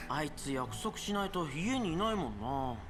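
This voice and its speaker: A man's voice: fairly high pitch, big pitch swings, quick pace. A man playing a brash, bossy teenage schoolboy in a Japanese video game, with blunt casual speech. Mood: eager. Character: brash teenage schoolboy, pushy games-loving friend. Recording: game audio over music.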